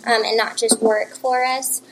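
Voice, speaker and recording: A young woman whose first language is Romanian, chatting easily as an interview guest, laughing often and filling pauses with "um". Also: on the high side, slight background noise, low-quality recording. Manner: relaxed and chatty, laughs easily, many fillers. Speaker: interview guest, coffee shop co-owner. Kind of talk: casual conversational interview.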